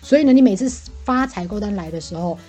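Bright-toned no, mellow fast-talking Taiwanese woman. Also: medium pitch, slightly rough, faint music behind. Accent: Taiwanese Mandarin. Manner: talkative, animated, exclamatory. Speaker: podcast co-host. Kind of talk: casual venting chat.

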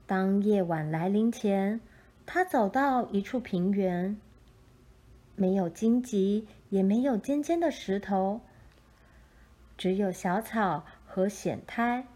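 A woman narrates a children's storybook at a very unhurried pace. Her tone is soft and mellow, with a middle pitch. She reads in a gentle, expressive storytelling way.

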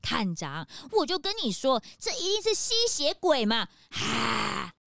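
A woman's voice: a little high, very animated, measured pace, creaky, fairly bright tone, no room sound, clean studio sound, heavily acted. A woman playing a chatty, impatient young detective's assistant who butts in with exclamations, in a children's audio drama. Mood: excitable.

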